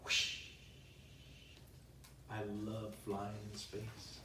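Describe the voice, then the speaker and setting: A man reading a children's bedtime story aloud in a playful, expressive way with chatty asides. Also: fairly low pitch, drawn-out words, background noise. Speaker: children's author reading his own book. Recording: roomy echo, distant microphone.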